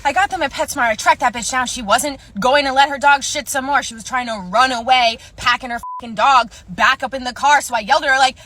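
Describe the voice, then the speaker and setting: A woman's voice: medium pitch, lively intonation, quick pace, fairly bright tone, a little hiss or hum, close to an everyday voice. A woman playing an outraged customer in a retail skit, brash and foul-mouthed as she rants. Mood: outraged, ranting. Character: outraged, loud woman customer who loves shaming people.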